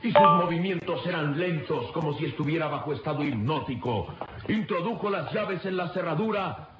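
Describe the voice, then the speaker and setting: A man's voice: fairly high pitch, animated, normal speed. A man narrating a radio serial in a dramatic, suspenseful, declamatory style. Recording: dull echoey lo-fi, loud music behind.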